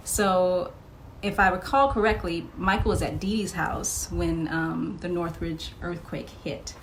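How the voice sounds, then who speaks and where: Chatty low-voiced woman, noisy recording. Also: steady tone, unhurried. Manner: chatty, often laughing as she tells stories. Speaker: podcast guest. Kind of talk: casual anecdotal interview storytelling. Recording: clearly noisy live podcast recording.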